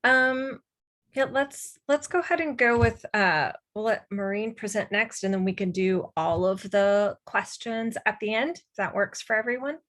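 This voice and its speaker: A woman's voice: mid-range voice, normal speed, a bit bright. A woman hosting an online webinar, informally moderating and handing over between presenters. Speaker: webinar host.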